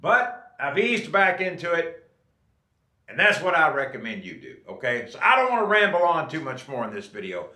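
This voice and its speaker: A man's voice: a little high, sing-song, moderate pace, bright, forceful tone, roomy sound. A man in his late fifties giving casual, folksy advice to viewers, often checking in with "okay?". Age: 50s. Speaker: drummer and channel host.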